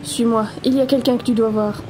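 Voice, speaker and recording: A woman's voice: fairly high pitch, fairly even intonation, a little fast, noisy background, lightly acted. A woman acting a mysterious, cool and commanding stranger in an audio drama.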